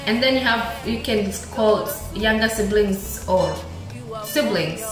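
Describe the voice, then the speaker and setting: Young woman teaching, quick and echoey. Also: medium pitch. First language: Ebembe. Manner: quick, friendly explaining with repeated vocabulary drills. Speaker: language teacher. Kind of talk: casual online language lesson. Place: small indoor room. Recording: very echoey room with clear background music. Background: music.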